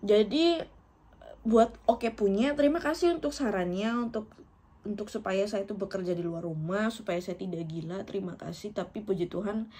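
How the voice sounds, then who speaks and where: A woman's voice: mid-range voice, normal speed, dark tone, slightly rough. A woman in her thirties from Indonesia, talking directly to her viewers in an emotional, personal vlog, often breaking into laughter. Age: 30s. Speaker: vlogger.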